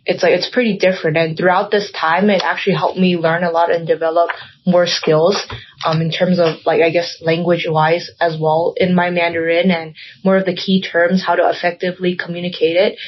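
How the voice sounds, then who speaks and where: A woman's voice: lowish voice, little pitch movement, fairly quick, highs cut off, slight background noise. A young woman guest in a casual podcast chat, rambling and filling her talk with "like" and "um". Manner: rambling, heavy use of "like" and "um".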